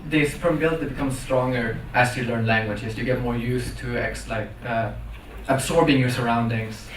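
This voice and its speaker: A man's voice: mid-range voice, fairly even intonation, moderate pace. A man from Sweden asking a question from an audience and telling a story in a casual way. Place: audience in echoey lecture room. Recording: distant, echoey, faint room noise.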